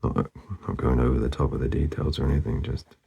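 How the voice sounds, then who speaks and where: A man narrating casually as he draws, laid-back and murmuring, with a husky, gravelly voice that often trails off. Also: extremely low pitch, fairly even intonation, fairly quick, mellow, muffled recording. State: losing his voice, hoarse. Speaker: artist hosting a drawing channel. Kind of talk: casual narration while drawing.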